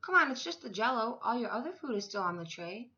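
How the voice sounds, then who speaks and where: A woman's voice: fairly high pitch, very animated, fast, sharp tone, highs cut off. A woman voicing a small, earnest, worried young schoolboy in a storybook. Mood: concerned. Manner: timid, earnest, short replies.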